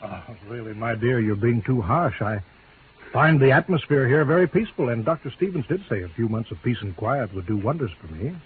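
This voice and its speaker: An actor playing a henpecked, quietly scheming husband in a 1950s radio drama, soothing, genteel and evasive. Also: male voice, medium pitch, expressive, fairly quick, lightly acted. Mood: placating. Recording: vintage radio, lo-fi narrow band, faint noise.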